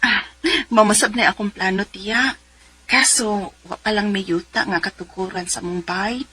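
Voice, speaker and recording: A woman's voice: medium pitch, steady tone, a little slow, sharp tone, breathy edge, rough recording. An actress with a Cebuano accent playing a married mother in her forties who tells her story in a radio drama. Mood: chatty. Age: 40s. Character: Zenaida, 42-year-old married mother of two, letter sender. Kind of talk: radio drama acting and letter narration. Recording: music bed behind the voice.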